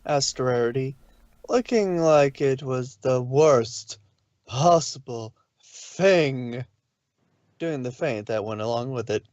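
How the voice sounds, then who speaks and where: A man's voice: mid-range voice, slow pace, darkish tone, dry recording. Man narrating a story, dull recording. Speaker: narrator of a fan fiction reading. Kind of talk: scripted story narration read aloud.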